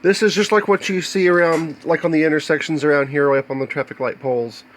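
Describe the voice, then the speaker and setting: American man, slightly high voice, halting explanations. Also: steady tone, a bit bright, a little hiss or hum. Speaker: hobbyist video maker showing electronics. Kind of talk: casual unscripted show-and-tell. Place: indoors, cluttered home workshop.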